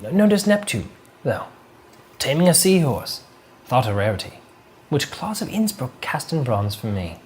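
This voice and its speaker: A man's voice: medium pitch, big pitch swings, slight background noise. A man playing a haughty, possessive duke. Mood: cold, formal. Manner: measured, formal dramatic recitation. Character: proud, possessive, coldly controlling male duke. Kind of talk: dramatic monologue performance.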